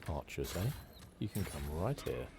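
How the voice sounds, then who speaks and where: A man giving relaxed, hushed ASMR commentary as he hosts a video game playthrough, speaking in an unhurried, hesitant way. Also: rumbling low, gravelly, background noise. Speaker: gaming channel host. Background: video game ambience.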